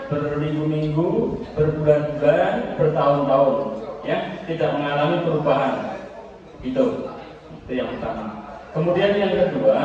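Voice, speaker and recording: A man's voice: fairly high pitch, darkish tone. A man teaching farmers at a village gathering, explaining a demonstration step by step and often checking in with "ya". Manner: explanatory, repetitive, checks listeners with "ya". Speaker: agricultural extension instructor. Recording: echoey, distant, dull with noise.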